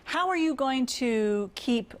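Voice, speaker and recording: A woman's voice: high voice, expressive, slow. Chatty woman hosting a TV interview. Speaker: TV talk show host.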